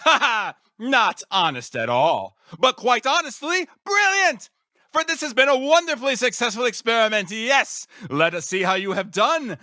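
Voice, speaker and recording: An actor playing an eccentric, grandiose mad scientist in a video game, secretly villainous, with a theatrical, pompous, wordy manner. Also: male voice, sits high, sharp tone, no room sound, clean studio sound, exaggerated voice. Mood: gloating, manic. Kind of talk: video game character voice acting.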